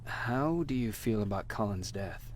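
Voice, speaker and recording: An actor playing a guarded soldier who survives in a war drama, narrating and quietly asking questions. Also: male voice, on the low side, expressive, a little slow. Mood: quiet, curious. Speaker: protagonist and narrator. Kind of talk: game voice acting, scripted narration and dialogue. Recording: music under the voice. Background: music.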